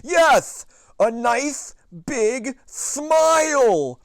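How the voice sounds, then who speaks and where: Storybook grandpa, elderly and distraught, toothless mumble, high and swooping. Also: male voice, lively intonation, no room sound, studio quality, heavily acted. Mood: coaxing. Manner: loud, slow, wailing, mumbling as if toothless. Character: fussy old grandpa who lost his teeth. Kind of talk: children's storybook read-aloud.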